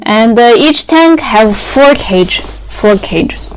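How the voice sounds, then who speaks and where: A young woman whose first language is Chinese, explaining factory machinery in halting English with many fillers and restarts. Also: fairly high pitch, lively intonation, a little hiss or hum, low-quality recording.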